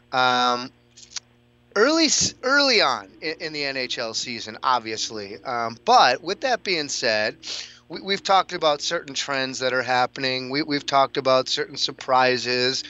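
A man's voice: fairly high pitch, bright, forceful tone. A man hosting a radio sports show, chatting loosely with plenty of fillers. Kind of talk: casual sports talk. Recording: dull lo-fi broadcast sound.

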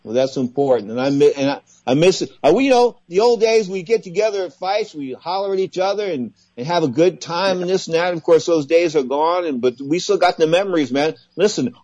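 A man's voice: on the high side, darkish tone, dry recording, rough recording. A man in his sixties hosting a boxing radio show, effusive and sentimental, repeating his phrases for emphasis. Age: 60s. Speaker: radio talk show host.

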